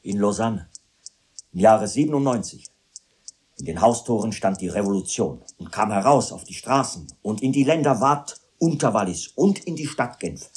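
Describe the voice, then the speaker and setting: An actor playing a brooding male private tutor who tells of revolution with passion, in a literary radio drama, his voice husky. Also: fairly low pitch, animated, moderate pace, a little mellow. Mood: impassioned.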